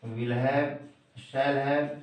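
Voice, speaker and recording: A man with an Indian accent, a native Hindi speaker, teaching grammar in a deliberate, emphatic way and addressing his listeners directly. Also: mid-range voice, fairly even intonation. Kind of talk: instructional grammar lesson to camera. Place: echoey room indoors. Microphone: distant microphone. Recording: very echoey room, distant mic.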